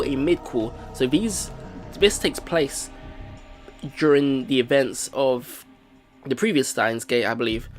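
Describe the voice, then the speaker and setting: A man hosting a video game let's play, commenting to viewers and reading story text aloud. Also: medium pitch.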